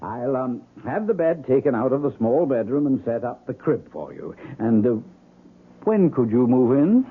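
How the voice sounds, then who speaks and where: An actor playing a genteel landlord with gleeful malice under courtly, fussy charm in an old-time radio drama. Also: male voice, medium pitch, very animated, moderate pace, husky. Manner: courtly, fussy, hesitant, with sly chuckling delivery. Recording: muffled narrow-band old radio recording.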